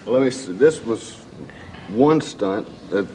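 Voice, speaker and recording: A man's voice: lowish voice, animated, slow, darkish tone, a little hiss or hum, rough recording. A man with a Southern American accent, a talk show guest chatting in a dry, understated way. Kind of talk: casual talk show chat.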